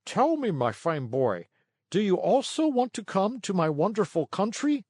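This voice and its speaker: A man's voice: high voice, big pitch swings, moderate pace, a little mellow, no echo, studio quality, voice pushed up. A man voicing a sly, smiling little fat wagon driver in a storybook, with a small wheedling voice and sugary, polite manner. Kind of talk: storybook character voice.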